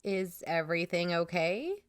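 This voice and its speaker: An actress playing a brisk, practical young woman investigator in a naturalistic audio drama. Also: fairly low pitch, no echo, studio quality, lightly acted. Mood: concerned.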